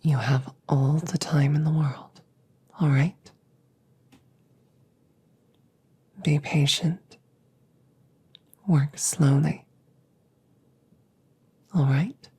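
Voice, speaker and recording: A woman playing a calm, reassuring damaged female android in an ASMR audio roleplay, speaking in soft, breathy whispers with measured, formal phrasing. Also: low voice, heavily acted. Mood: soothing, reassuring. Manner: slow, measured, precise formal phrasing with pauses.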